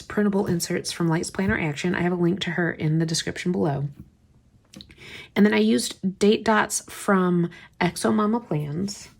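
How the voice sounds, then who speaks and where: A woman's voice: mid-range voice, vocal fry, darkish tone. A woman with a slight cold and a stuffy voice, chatting casually as she plans, thinking aloud and often dropping to a whisper. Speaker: planner vlogger. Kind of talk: casual craft chat while planning.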